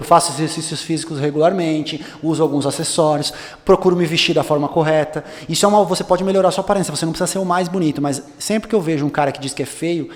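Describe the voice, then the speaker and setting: Young guy explaining dating tips, kinda high voice, bit of reverb trailing off. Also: darkish tone.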